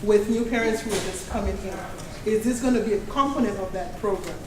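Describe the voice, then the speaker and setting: A woman with a cold asks her question earnestly, restarting and correcting herself as she goes. She sounds distant in a roomy, echoing hall, with steady background noise. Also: medium pitch, fairly quick. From Nigeria. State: has a cold. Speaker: special education advocate, audience member. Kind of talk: public question at a community meeting. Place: community meeting hall.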